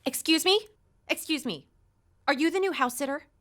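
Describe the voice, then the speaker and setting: A woman's voice: high voice, moderate pace, sharp tone. A woman playing a distressed, pleading neighbor around thirty in an audio drama. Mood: urgent pleading. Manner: pleading, emotive. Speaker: actor.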